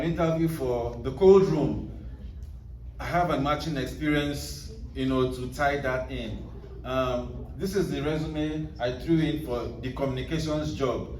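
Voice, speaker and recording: A man's voice: medium pitch, darkish tone, a little hiss or hum. Man, author at a book talk, meandering speech full of fillers, roomy hall, distant mic. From Nigeria. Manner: rambling, many fillers and restarts. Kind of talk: casual talk to an audience.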